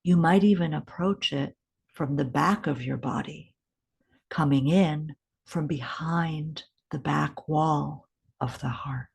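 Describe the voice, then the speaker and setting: A woman leads a guided meditation in a low, husky voice. She speaks very slowly and gently, leaving deliberate pauses between short instructional phrases. Her tone is soft, with breathy edges.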